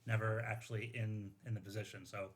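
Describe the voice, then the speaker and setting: A man's voice: on the low side, flat delivery, brisk. A man telling an anecdote in casual, informal talk, peppered with "like" and quoted dialogue.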